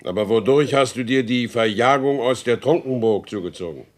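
A man's voice: medium pitch, moderate pace, lightly acted. An actor playing a proud, wronged horse dealer in a German radio drama, speaking formally and emphatically as he insists on his rights. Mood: stern questioning.

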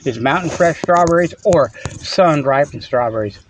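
A man's voice: on the high side, lively intonation, normal speed, slight background noise, poor audio. A man hosting a rambling comic monologue on a phone, chuckling often and full of fillers and restarts, playful and teasing. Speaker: host.

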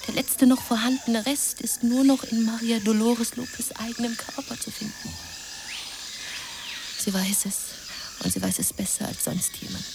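A woman's voice: a little high, expressive, moderate pace. An actress playing an impassioned woman who tells a lurid adventure fantasy in a melodramatic, intense radio play manner, at times whispering. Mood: hushed, ominous. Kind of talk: radio play narration and acting. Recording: noisy jungle ambience behind.

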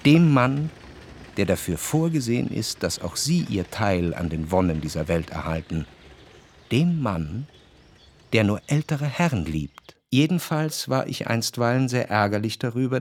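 Low, creaky-voiced literary narrator. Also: male voice, darkish tone, no room sound. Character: reflective, wry male narrator recalling what he spied. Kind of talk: literary radio drama narration. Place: dry studio.

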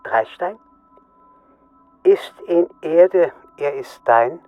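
Enigmatic male poet, radio drama, extremely slow verse recitation, faint music underneath. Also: on the high side, expressive, dry recording, narrow, tinny audio.

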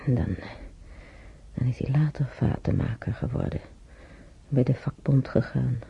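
An actor playing an elderly man who haltingly reminisces in a radio drama, searching his memory and muttering to himself. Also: mid-range voice, steady tone, gravelly, slightly rough, exaggerated voice. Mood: reminiscing. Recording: muffled old radio drama recording.

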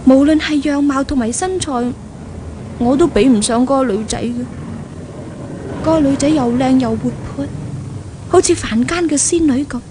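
A woman with a Hong Kong Cantonese accent playing an impulsive, jealous young woman spurned in love, thinking aloud in exclamations in a 1970s radio drama. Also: high-pitched. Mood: jealous, bitter. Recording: noisy old radio drama recording.

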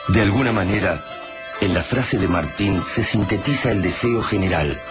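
Radio drama narrator: deep male voice, measured and descriptive. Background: loud music score.

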